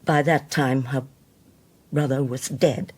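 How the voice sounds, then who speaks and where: Elderly religious zealot, slow halting delivery, husky, cold, self-righteous, radio drama. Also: female voice, rumbling low, fairly even intonation, darkish tone, heavily acted. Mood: cold, defiant. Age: older. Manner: deliberate, halting, pious.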